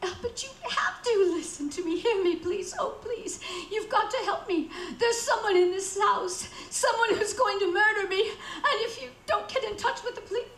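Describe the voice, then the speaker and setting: Panicky invalid lady in a radio thriller, really high sharp voice, fretful, roomy echo. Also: normal speed, hardly any pauses. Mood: hysterical terror. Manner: fretful, breathless, repeating herself. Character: anxious, demanding invalid woman alone, terrified into hysteria. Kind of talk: radio suspense drama acting. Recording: roomy echo with faint background noise.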